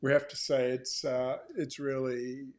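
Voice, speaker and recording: Male author-host, medium pitch, informal explanatory delivery, measured with hesitant phrasing. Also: flat delivery, crowd noise.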